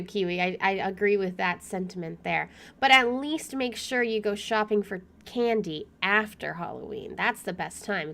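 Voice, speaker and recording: A young woman streaming a game, chatting casually and reading story text aloud in an expressive way. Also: medium pitch.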